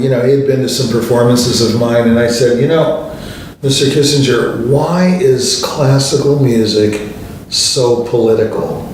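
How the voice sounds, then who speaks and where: Older male host, chatty storyteller, mid pitch, distant mic, roomy echo, faint background hum. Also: a little mellow. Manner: anecdotal, conversational, many fillers. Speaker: show host, pianist. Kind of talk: storytelling monologue. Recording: distant mic in a very echoey room.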